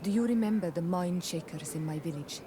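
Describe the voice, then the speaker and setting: A woman acting a grave, low-voiced tribeswoman. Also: noisy background, natural voice. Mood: earnest, concerned. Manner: grave, deliberate, formal. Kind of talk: fantasy video game cutscene acting.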